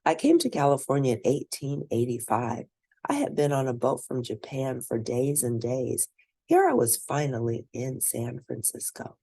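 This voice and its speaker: Female storybook narrator, gravelly and husky, lively pitch movement, deliberate delivery, close dry recording. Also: no room sound. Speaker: read-aloud narrator. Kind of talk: scripted storybook reading in first person.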